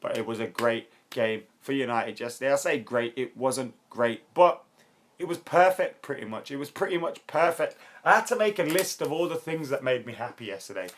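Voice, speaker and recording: A young man with a hoarse, worn voice, hosting a live football chat stream, chatty and excitable and quick to laugh. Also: medium pitch, slight background noise. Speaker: YouTube football channel host.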